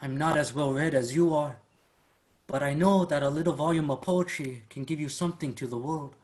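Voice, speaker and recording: A man's voice: a little high, fairly quick, darkish tone, close to an everyday voice. An actor playing a proud, stubborn elder brother, a plain-spoken man in a staged drama. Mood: heartfelt, resolute. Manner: plain-spoken, heartfelt, defiant when provoked. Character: Amado, proud, stubborn elder Filipino brother, rough drifter. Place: online virtual staged reading.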